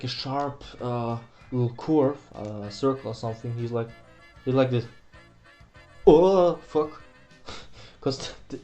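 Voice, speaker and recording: A young man with a German accent talks through a drawing tutorial in a casual, rambling way, often correcting himself and tossing in mild swearing. His voice sits at an ordinary mid pitch, picked up by a computer mic in a small room at home, with faint music underneath. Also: mellow. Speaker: art tutorial host.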